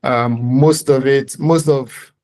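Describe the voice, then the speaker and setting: Nigerian man explaining a startup pitch. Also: fairly even intonation, slow, a little mellow. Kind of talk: live pitch and question answering.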